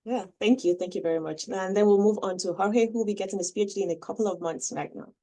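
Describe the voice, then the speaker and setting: A moderator opening an online seminar on a video call, friendly, with many fillers. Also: male voice, high-pitched, fast, warm tone. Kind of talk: webinar moderation.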